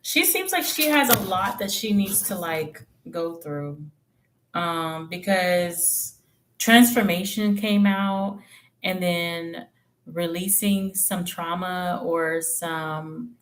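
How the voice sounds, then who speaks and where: A woman's voice: on the low side, takes it slowly. A woman giving live tarot readings in a candid, slangy, chatty way, thinking aloud with hums and trailing phrases. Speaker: tarot reader. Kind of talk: casual live-stream reading and chat.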